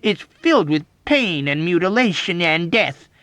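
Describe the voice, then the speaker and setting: A man's voice: medium pitch, animated, takes it slowly, warm tone, dry recording. A man voicing a belligerent, easily offended army major in an audiobook, indignant and accusatory. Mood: wounded indignation. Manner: indignant, accusatory, badgering, emphatic. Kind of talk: audiobook character acting.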